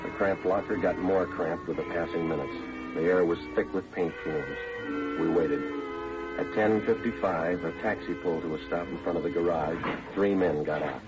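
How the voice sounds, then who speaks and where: Man playing very deep-voiced deadpan radio detective. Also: normal speed, loud music behind the voice, natural voice. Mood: dry, factual. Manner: clipped, deadpan, matter-of-fact. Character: terse, level-headed police detective narrating his case. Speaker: police detective and narrator. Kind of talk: 1950s radio drama, scripted narration. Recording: dull old radio recording, background noise.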